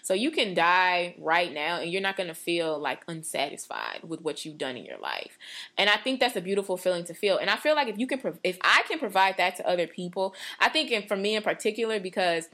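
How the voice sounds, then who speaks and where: A woman's voice: on the low side, expressive, a little fast, sharp tone. Earnest young woman talking faith. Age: 20s. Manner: earnest, rambling, lots of "like". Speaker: podcast co-host. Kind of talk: casual faith talk.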